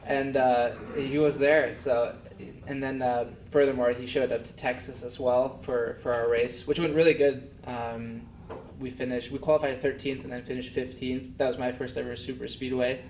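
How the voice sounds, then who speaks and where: A young man from Denmark talks casually as an interview guest, pausing on fillers and restarting his sentences. His voice sits at an ordinary pitch. He is picked up from a little way off the mic, in a roomy pub with some noise behind. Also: little pitch movement, distant mic. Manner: soft-spoken, halting with many fillers. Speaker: guest, race car driver.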